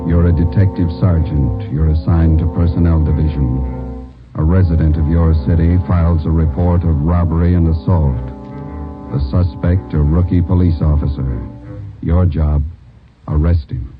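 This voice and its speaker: Extremely deep male narrator for old police radio drama, tinny narrow sound, music strong behind him. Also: steady tone, moderate pace. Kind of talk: vintage police radio drama introduction, scripted. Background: loud dramatic music.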